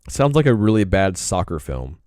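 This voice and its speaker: Deep-voiced man chatting on stream. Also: big pitch swings, slow pace, darkish tone, no echo, studio quality. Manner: laid-back, rambling, frequent throat clearing. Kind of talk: casual live-stream chat while drawing.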